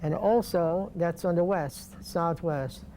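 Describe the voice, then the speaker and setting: An older man from Poland, speaking slowly and deliberately with pauses in an oral history interview. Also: a little high, warm tone, slight background noise. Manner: slow, deliberate, halting with pauses.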